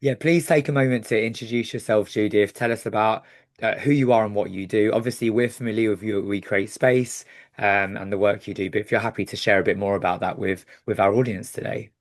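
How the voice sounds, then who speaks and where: Male panel host, lowish pitch, welcoming and conversational, speaking on an online call. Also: steady tone, fairly quick. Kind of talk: warm-up interview questions in an online panel.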